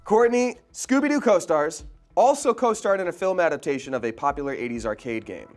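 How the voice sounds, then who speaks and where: A young man hosts a jokey game show with an animated, swinging delivery. He slips between playful drama and deadpan asides, with faint music behind him. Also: on the high side, fairly quick. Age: young adult. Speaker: quiz show host. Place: indoor studio set.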